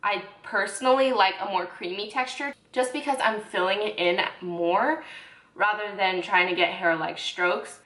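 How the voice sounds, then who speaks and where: A woman's voice: medium pitch, normal speed, fairly bright tone. A young woman casually reviewing beauty products, chatty and self-correcting, with lots of "like"s. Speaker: beauty vlogger. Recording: echoey room.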